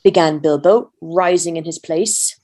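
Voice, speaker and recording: A woman reading a fantasy story aloud as a volunteer, in measured narration. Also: lowish voice, warm tone. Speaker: volunteer reader.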